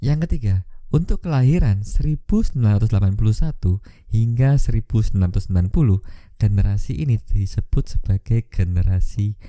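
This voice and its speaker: A man's voice: on the low side, animated, brisk, mellow, no echo. A man presents a scripted educational video, instructing viewers directly. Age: 30s. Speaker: host of an insurance tips channel.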